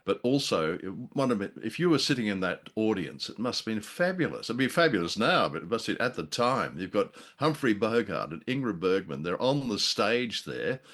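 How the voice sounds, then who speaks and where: A deep-voiced man chats as a podcast co-host. He talks in a wordy, jocular way, reviewing casually and pausing to find his words. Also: big pitch swings, a bit bright.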